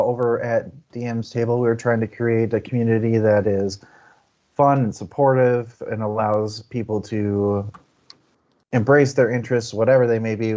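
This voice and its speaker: Male podcast co-host, somewhat low-pitched, informal book-club delivery, mildly lo-fi sound. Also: a little mellow. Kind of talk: casual book-chat livestream hosting.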